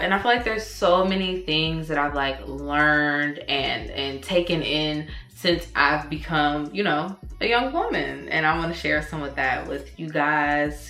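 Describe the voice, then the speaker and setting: A woman in her twenties talking to camera, giving candid big-sister advice in a casual, animated way, with slang and the odd swear. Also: deep voice. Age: 20s. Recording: echoey room with faint music.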